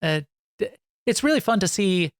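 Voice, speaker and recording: A woman's voice: mid-range voice, lively intonation, normal speed, no echo, clean studio sound. A woman interviewing casually, repeating words as she works out her phrasing.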